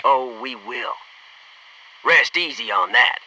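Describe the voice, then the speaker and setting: An actor playing a brash, wisecracking American military commando in a video game, with clipped, gung-ho tactical talk and wry slang. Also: male voice, mid-range voice, expressive, slow, distant mic, background noise. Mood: subdued, earnest. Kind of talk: video game voice acting, tactical battlefield barks.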